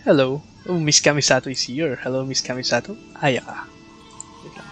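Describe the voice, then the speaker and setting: A man's voice: medium pitch, a little fast, a bit bright. A man with a Filipino accent giving casual, chatty live game commentary and talking to viewers. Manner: chatty, reacts aloud and thanks viewers. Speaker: game livestreamer. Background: game music.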